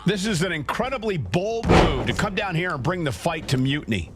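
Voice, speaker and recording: Animated, high-pitched actor as male wrestling announcer. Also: a little fast, very noisy, lightly acted. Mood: impressed. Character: emphatic male lead wrestling announcer in a video game. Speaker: lead commentator. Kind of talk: play-by-play sports commentary. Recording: loud music behind.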